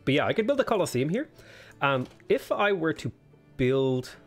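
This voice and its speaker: A man's voice: a little high, big pitch swings, moderate pace, faint music behind. A man with a sniffly cold, casually commentating a strategy game while he thinks aloud, weighing choices with plenty of ums. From Ireland. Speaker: let's play host.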